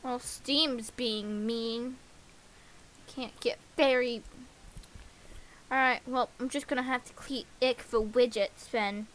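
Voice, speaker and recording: A woman with a very high, bright voice talks like a casual game streamer. She is playful and unhurried, muttering to herself in a sing-song way. A clear hiss sits behind her. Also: fairly even intonation, rough recording. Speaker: livestreamer. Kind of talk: casual livestream chat while setting up games.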